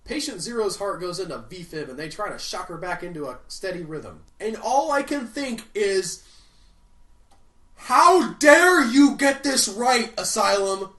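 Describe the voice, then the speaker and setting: Male comedy film reviewer, sarcastic, ranting, very animated pitch, roomy echo. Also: a little slow. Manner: sarcastic, swings into loud outraged rants. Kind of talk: comedic movie review narration. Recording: roomy echo, mic at a distance.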